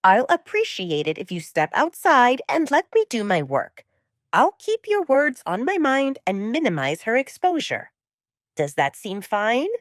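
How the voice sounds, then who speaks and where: Woman narrator as a courteous young male doctor, animated. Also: on the high side, measured pace, no echo, studio quality, voice pushed up. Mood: polite, firm. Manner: courteous, deferential. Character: friendly, polite young male pack doctor, deferential but professional. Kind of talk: audiobook romance drama acting.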